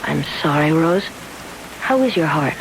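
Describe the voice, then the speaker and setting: A woman's voice: sits low, expressive, a little slow, rough recording. An actress in a 1970s radio drama playing a cold, accusing young woman with a controlled, pointed delivery, on a hissy old broadcast. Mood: cool, pointed.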